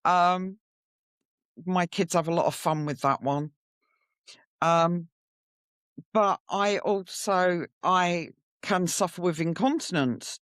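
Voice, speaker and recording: Slow, clear-voiced middle-aged woman. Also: lowish voice, no echo, studio quality. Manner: candid, reflective. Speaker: podcast guest sharing her story. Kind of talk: personal storytelling in interview.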